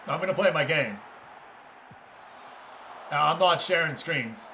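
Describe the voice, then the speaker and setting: A man's voice: a little high, animated, measured pace, pushed and bright, a little hiss or hum. A man hosting a livestream, giving casual game commentary and chatting informally with viewers. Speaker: livestream host.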